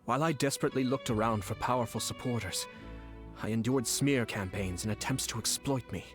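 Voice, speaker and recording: An actor playing a composed noble male commissioner who speaks smoothly and courteously, with quiet menace. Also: mid-range voice, brisk, over music, lightly acted. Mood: solemn. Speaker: clan commissioner. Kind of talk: game voice acting.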